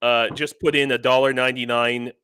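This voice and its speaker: A man's voice: medium pitch, flat delivery, brisk, sharp tone, clear, resonant tone, no room sound. A man hosting a casual livestream interview, rambling a little and often repeating himself as he feels for his words. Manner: hesitant, rambling, repeats phrases.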